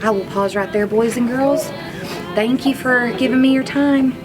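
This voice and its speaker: A woman reading a children's storybook aloud like a teacher, in an expressive manner. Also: on the high side, moderate pace, over music. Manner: expressive, acts out characters' lines.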